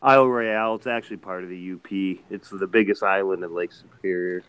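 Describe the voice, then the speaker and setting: A man with a fairly low voice who jokes and teases as he chats. He is heard on a live video call. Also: steady tone, brisk, fairly bright tone, smooth. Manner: joking, teasing. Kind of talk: casual chat.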